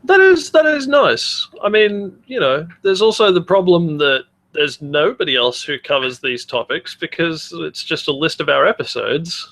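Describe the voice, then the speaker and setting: A man's voice: on the high side, expressive, normal speed, vocal fry, few pauses, fairly bright tone. An Australian man co-hosting a podcast in casual chat, blunt and joking, swearing freely. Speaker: podcast co-host.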